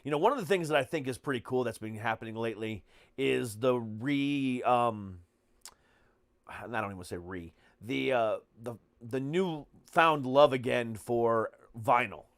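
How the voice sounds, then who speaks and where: An American man hosting a podcast in casual chat, halting and often reworking his phrases as he goes. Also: mid-range voice, no room sound, studio quality. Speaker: podcast host.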